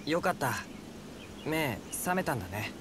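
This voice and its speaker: An actor playing a kind, mild teenage boy hero in a game, soft-spoken and polite. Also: fairly high pitch, very animated, measured pace, background noise. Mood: gentle. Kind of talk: anime-style video game acting. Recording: clear birdsong and outdoor ambience behind.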